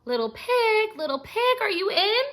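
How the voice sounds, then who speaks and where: Woman as a high, calling storybook wolf. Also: sing-song, normal speed, a bit bright, full character voice. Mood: coaxing, calling. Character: male wolf storyteller calling out to pig neighbors.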